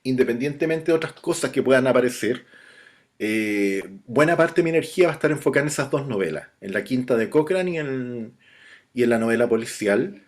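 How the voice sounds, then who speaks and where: Relaxed Chilean man chatting. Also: medium pitch, fairly quick. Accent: Chilean Spanish. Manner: relaxed, chatty, with frequent restarts and light laughs. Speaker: novelist being interviewed. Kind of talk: casual interview talk.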